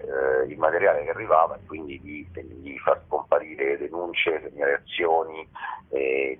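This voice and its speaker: A man with a very deep, rough-edged voice. He explains things informally in an interview, feeling his way with pauses. The sound is thin and boxed-in, as on a phone call. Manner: halting, explanatory, often pausing mid-sentence. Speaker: theatre author and actor, interview guest. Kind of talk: informal radio interview answers. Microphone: telephone. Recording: tinny, narrow phone line.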